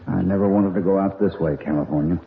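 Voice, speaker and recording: An actor playing a resolute, plain-spoken cowboy hero in an old-time Western radio drama. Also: male voice, deep voice, fast, creaky. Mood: weary. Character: steady, resolute cowboy hero, calm leader. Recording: echoey, noisy, muffled old radio recording.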